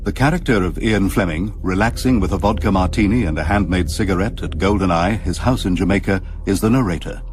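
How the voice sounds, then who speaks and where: A man's voice: deep voice, brisk, hardly any pauses, a little hiss or hum. A man announcing a radio drama in a scripted, formal introduction.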